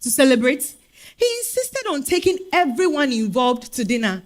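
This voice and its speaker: A woman's voice: high voice, moderate pace. A woman with a Ghanaian accent playing a spirited single woman telling her dating story in a comic stage reading. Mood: storytelling. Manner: expressive, exasperated storytelling. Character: Araba, spirited Ghanaian single woman hunting foreign men.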